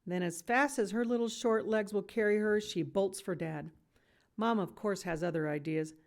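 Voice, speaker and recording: A woman reading a children's novel aloud in expressive storybook narration. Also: medium pitch, moderate pace.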